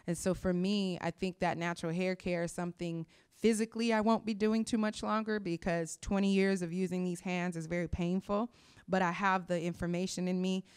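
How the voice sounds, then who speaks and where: Smooth-voiced woman guest, passionate. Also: fairly low pitch. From Sacramento, California. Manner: passionate, talks at length with fillers. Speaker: interview guest, hip hop artist and hairstylist. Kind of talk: casual interview talk.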